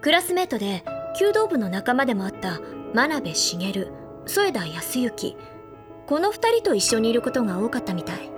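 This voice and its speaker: Confident teasing anime senior girl, really animated voice, gossipy storytelling, music behind her. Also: a little high, normal speed. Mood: playful, informative. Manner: feminine, storytelling delivery. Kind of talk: anime audio drama dialogue.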